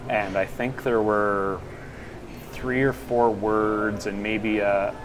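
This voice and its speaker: A man co-hosting a casual pub conversation, talking in halting bursts with many fillers and restarts. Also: fairly low pitch, noisy background. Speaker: podcast co-host and linguist. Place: noisy pub.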